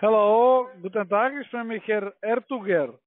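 High voiced guy caller, foreign accent, non-native, tinny phone line. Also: unhurried, no echo. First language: not German. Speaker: telemarketing caller.

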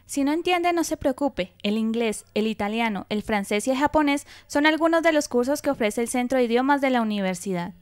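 A woman's voice: a little high, expressive, fairly quick, hardly any pauses, clear, resonant tone, no room sound, studio quality. A woman student reporter reading scripted news narration. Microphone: dry studio voice-over.

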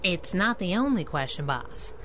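Sassy 1930s radio sidekick girl, lowish lilting voice, teasing, noisy background. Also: very animated, normal speed, a bit bright. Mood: businesslike. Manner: teasing, slangy pet names. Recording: background noise and ambience.

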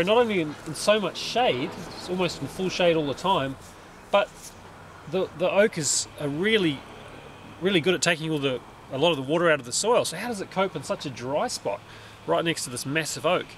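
A man's voice: a little high, animated, a little fast, a bit bright, slight background noise. A man in his thirties with an Australian accent, thinking aloud as he explains a tree in his backyard, often restarting his sentences. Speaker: permaculture designer. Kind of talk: casual explanatory vlog. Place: outdoors in a backyard under a large tree. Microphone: handheld camera at arm's length.

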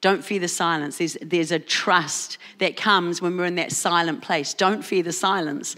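A woman pastor with a New Zealand accent, preaching at a church service and telling a personal story with lively asides. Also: fairly low pitch, measured pace. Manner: storytelling, animated asides with laughs. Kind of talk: sermon.

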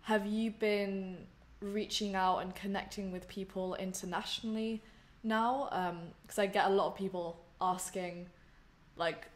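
Flat-voiced young woman asking questions. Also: mid-range voice, unhurried. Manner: long rambling questions. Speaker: host. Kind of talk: casual interview questions. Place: room at home. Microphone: headphones on video call.

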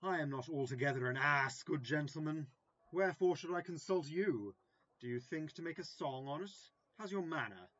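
A man playing a vain, gullible, self-important would-be gentleman in an early modern comedy audio drama. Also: on the high side, lively intonation, normal speed. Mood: eager, pompous. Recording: slightly dull, lo-fi remote recording.

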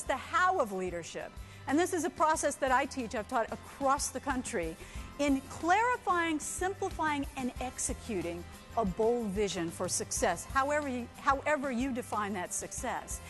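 A high-voiced woman in her forties delivers a keynote with energy and emphasis. She talks into a headset mic on a conference stage. Faint music plays underneath. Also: moderate pace. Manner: energetic, emphatic, gestures a lot. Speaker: keynote speaker. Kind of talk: motivational keynote talk.

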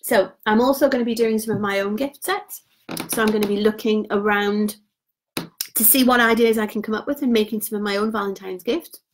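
A woman in her thirties talks casually through gift sets on a live stream, her voice mid-pitched. Her voice is wearing hoarse, and she drops softer at the ends of phrases in an easy, chatty way. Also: fairly even intonation, a little fast. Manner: chatty and informal, trails off quietly. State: voice going hoarse. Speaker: beauty product consultant.

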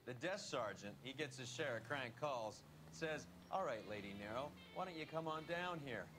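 An actor playing a wisecracking, cynical 1940s police detective in a video game, with a dry, sardonic way of talking. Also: male voice, medium pitch, animated, normal speed, lightly acted. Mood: amused. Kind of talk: video game dialogue acting. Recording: game music behind.

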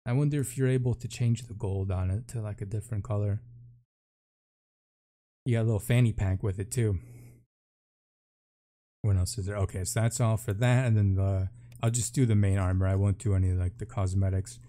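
Low, mellow-voiced man chatting casually. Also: fairly even intonation, a little fast, long pauses. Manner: informal, jokey, chatty. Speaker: video game streamer. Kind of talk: casual first-look game commentary.

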